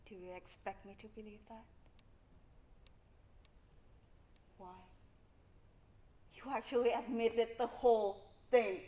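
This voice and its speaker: A woman's voice: on the high side, moderate pace, mellow, lightly acted. A young woman acting a distraught, defiant woman under suspicion in a stage play, dramatic and accusing. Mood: disbelieving. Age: young adult. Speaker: student stage actor.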